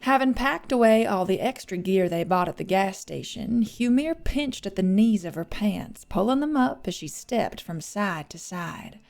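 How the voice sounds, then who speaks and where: A woman's voice: mid-range voice, animated, normal speed, creaky, few pauses, a little mellow, faint music behind. A woman narrating an audiobook, reading scripted fiction.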